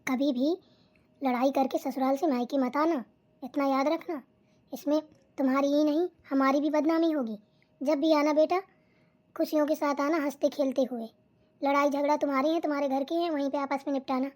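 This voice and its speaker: A woman voicing a caring older mother in a family cartoon, soothing her daughter and gently admonishing her. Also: high-pitched, fairly even intonation, normal speed, close to an everyday voice. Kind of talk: Hindi cartoon voice acting.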